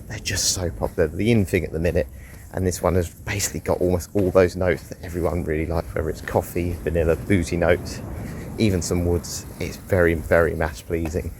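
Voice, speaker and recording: Deep-voiced sniffly man. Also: darkish tone, a little hiss or hum. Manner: chatty, rambling, with sighs. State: sniffly with a head cold. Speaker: fragrance reviewer. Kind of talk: casual review while walking. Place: outdoors on a walk.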